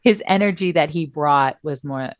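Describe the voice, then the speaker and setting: A woman's voice: deep voice, moderate pace, dry recording. A middle-aged woman co-hosting a podcast, chatting casually and acting out quotes as she tells stories, her voice still recovering from a cough. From Southern California. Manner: expressive storyteller, acts out quotes. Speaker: podcast co-host. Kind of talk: casual chat.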